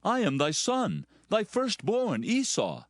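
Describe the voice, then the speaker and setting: An actor playing a bitter, pleading firstborn son in a dramatized scripture reading, delivered with passion. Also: male voice, high voice, big pitch swings, slow, dry recording, clean studio sound, heavily acted. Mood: eager. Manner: impassioned, pleading.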